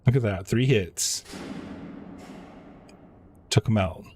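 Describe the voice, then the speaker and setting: A man live streaming a game, chatting and reading dialogue aloud in a flat, stumbling way. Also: lowish voice, takes it slowly, a little hiss or hum.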